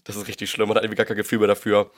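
A young man from northern Germany, a chatty podcast guest who is slightly under the weather, self-mocking and quick to laugh. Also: on the low side, completely flat delivery. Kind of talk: casual podcast chat. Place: host's bedroom, sitting in bed.

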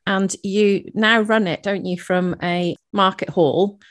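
A woman hosts a casual podcast interview, asking questions and answering with short, encouraging remarks. Her voice sits at a middle pitch. The recording is dry and close. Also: steady tone, slow, no echo. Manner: warmly encouraging, short affirmations. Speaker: podcast host.

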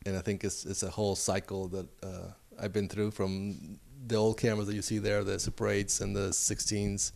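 Low-voiced man from Mexico City. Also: flat delivery, moderate pace, gravelly, few pauses, darkish tone. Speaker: photographer, guest. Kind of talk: casual interview.

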